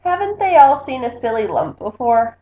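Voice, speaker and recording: A woman's voice: fairly high pitch, very animated, normal speed, dark tone, reverberant, dull audio. A woman voicing a bossy, jealous little girl mouse from a storybook, dramatic and imperious. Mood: jealous, disgusted.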